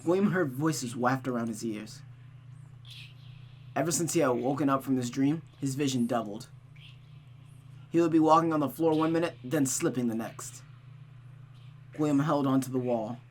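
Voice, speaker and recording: A streamer narrates a visual novel like a dramatic storyteller. The voice sits at a middling pitch, with a clear hum and noise behind it. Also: normal speed, pauses often, darkish tone. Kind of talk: reading a visual novel aloud with reactions. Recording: noticeable background hum and noise.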